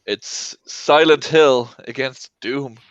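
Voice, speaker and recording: A man's voice: medium pitch, steady tone, slow, fairly bright tone, no room sound. A man hosting a casual panel discussion, introducing items and chatting with guests. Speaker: host of movie debate show. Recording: dry, dull lo-fi audio.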